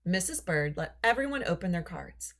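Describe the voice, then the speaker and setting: A woman reading a children's story aloud in an expressive, deliberate storytelling manner. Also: fairly low pitch. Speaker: storybook reader.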